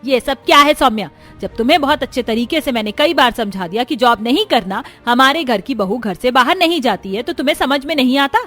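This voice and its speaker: A woman's voice: sits high, expressive, a little fast, hardly any pauses, fairly bright tone. A woman voicing a bossy middle-aged mother-in-law who scolds and commands in a cartoon family story. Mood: angry scolding. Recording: music behind the voice.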